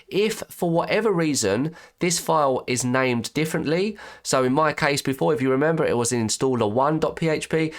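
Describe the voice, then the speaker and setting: A man with a stuffy nose and slightly croaky voice, chatting through a software tutorial and correcting himself as he clicks along. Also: a little high. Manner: chatty, restarts and self-corrects while clicking. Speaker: web design tutor. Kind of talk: screen-recorded software tutorial.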